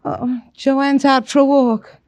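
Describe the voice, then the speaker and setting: A woman's voice: high-pitched, little pitch movement, takes it slowly, a little mellow, no echo. An American actress playing a protective, blunt and suspicious working-class landlady in an old radio drama. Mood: defensive, suspicious. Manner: defensive, folksy, blunt. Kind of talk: 1940s-50s radio drama character acting.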